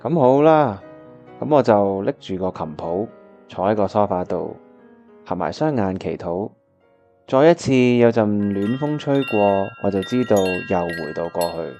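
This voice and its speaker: A disheartened time traveller with a Hong Kong Cantonese accent tells his own story in a radio drama. His voice sits low and mellow, with music playing behind it. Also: expressive, measured pace. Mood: reflective narration. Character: disheartened, wistful man who travels through time. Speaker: lead actor and narrator of a radio drama. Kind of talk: first-person radio drama narration and dialogue.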